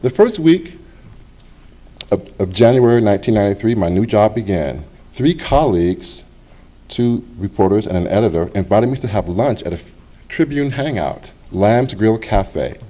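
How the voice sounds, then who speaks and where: Low, mellow-voiced man reading aloud. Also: animated, normal speed. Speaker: author reading his essay. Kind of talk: public reading of a personal essay. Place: public library reading event.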